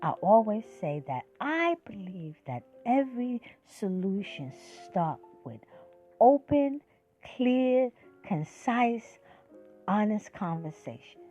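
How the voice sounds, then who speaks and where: A woman's voice: mid-range voice, big pitch swings, slow pace, mellow, faint music behind. A sixty-year-old woman hosting her own podcast, speaking in a passionate, emphatic monologue that rises and drops as she preaches her point. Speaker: podcast host.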